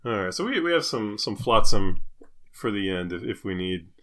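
A man's voice: fairly low pitch, big pitch swings, normal speed, fairly bright tone. A man co-hosting a casual comedy podcast, bantering in a wry, teasing, jokey manner. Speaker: podcast co-host.

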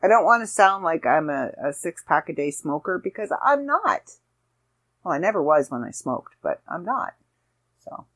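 A woman hosting her own podcast in an easy, rambling way, throwing in self-deprecating asides as she goes. Her voice sits a little low and has a slight rasp to it. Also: very animated, moderate pace, a bit bright. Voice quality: slightly raspy. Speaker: podcast host. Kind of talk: casual solo podcast chat.

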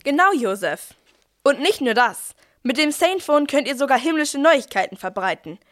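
A woman playing a brisk, managing archangel who narrates a nativity audio play. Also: high voice, expressive. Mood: salesy, upbeat.

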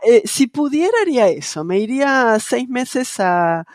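A woman's voice: a little high, expressive, moderate pace, darkish tone, dry recording. Casting note: middle-aged Argentine woman, translator guest, reflective and hesitant, dry close sound. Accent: Argentine Spanish, softened by years abroad. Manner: thoughtful, many hesitations and fillers, light laughs. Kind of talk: casual interview conversation.

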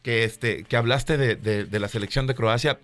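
A man's voice: mid-range voice, moderate pace, a bit bright. A man hosting a morning show, chatting playfully and announcing guests. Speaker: show host. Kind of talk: casual morning show chat. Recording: faint music behind.

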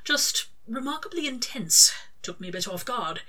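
Genteel male angel performed by a woman reader, earnest, hesitant, courteous, bright timbre, storybook dialogue. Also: a little high, big pitch swings, moderate pace, pitched above the performer's own voice, heavily acted. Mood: gently flustered. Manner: polite, old-fashioned, hesitant phrasing.

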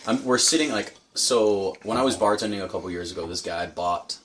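A man with a deep voice spins a loose, rambling anecdote as a podcast guest. He leans heavily on filler words as he goes. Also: fairly quick, a loud crowd. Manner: rambling with many filler likes. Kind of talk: casual storytelling.

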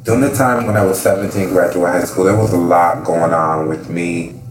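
Thirties guy from the bahamas, deep low voice, earnest oral history chat, kinda roomy sound. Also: fairly even intonation, moderate pace. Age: 30s. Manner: earnest and reflective, with frequent fillers. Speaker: interviewee.

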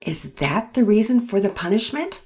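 A woman reading a storybook, voicing a calm father who asks gentle questions. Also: mid-range voice, moderate pace, voice pushed up, natural voice.

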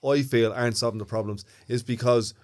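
A man's voice: mid-range voice, fairly even intonation, brisk. A man with a Dublin Irish accent, co-hosting a podcast in casual, blunt and earthy chat, often repeating words as he starts a thought. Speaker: podcast co-host.

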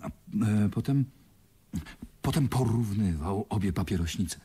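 A man acting a nervous, bewildered young heir in a Polish radio drama, husky and stammering. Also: fairly low pitch, lively intonation, unhurried, mellow, full character voice. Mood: flustered, confused. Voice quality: husky, breathy. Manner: stammering, hesitant, flustered. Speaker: actor in a radio play.

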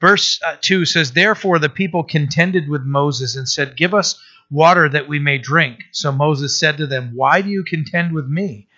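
A male pastor with a scratchy voice, teaching through a Bible passage in a casual, halting way with lots of fillers and throat-clearing. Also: fairly high pitch, pushed and bright. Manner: halting, many uh fillers and restarts. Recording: dull lo-fi sound.

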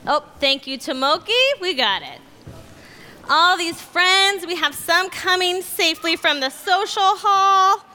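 A woman's voice: very high voice, expressive, a little slow, bright, forceful tone. A woman leading a children's message in church, cheerful and enthusiastic as she speaks with the kids. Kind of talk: talking to children.

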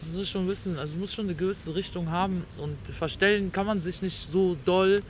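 A woman's voice: lowish voice, steady tone, brisk. A woman chatting casually in slang mixed with English words, recorded outdoors. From Berlin, Germany. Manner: slangy, peppered with English words and tag questions. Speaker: rapper, interview guest. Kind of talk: casual chat. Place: outdoors on a picnic blanket in a park. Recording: dull outdoor recording, faint city noise.

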